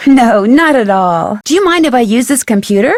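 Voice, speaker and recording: A woman plays a young woman detective for a video game. Her voice is high, polite and curious, recorded dry and close. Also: animated, moderate pace, darkish tone, no echo, close to an everyday voice. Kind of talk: game character dialogue.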